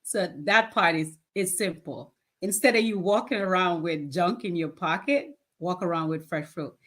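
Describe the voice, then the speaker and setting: Woman in her fifties, low-pitched voice, plain-spoken and earnest, casual interview guest, quick to laugh. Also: fairly bright tone. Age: 50s. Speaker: guest, nonprofit founder.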